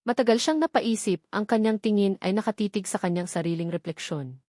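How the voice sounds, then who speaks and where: A woman's voice: medium pitch, brisk, darkish tone, no echo. Woman narrating a sentimental love story, dry sound.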